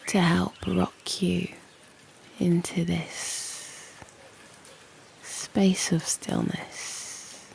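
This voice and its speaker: A British woman guiding a meditation in a soothing, breathy near-whisper, leaving long pauses between short phrases. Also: lowish voice, level tone, rough recording. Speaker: meditation guide and channel host. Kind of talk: slow guided yoga nidra meditation. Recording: faint background noise with birdsong. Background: birdsong and soft nature ambience.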